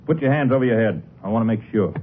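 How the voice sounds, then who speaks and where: An actor playing a hot-tempered, suspicious outlaw on the run in a 1950s radio drama, talking in rough rural slang and snarling threats. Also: male voice, mid-range voice, very fast, natural voice. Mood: barking orders. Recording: tinny band-limited 1950s radio recording.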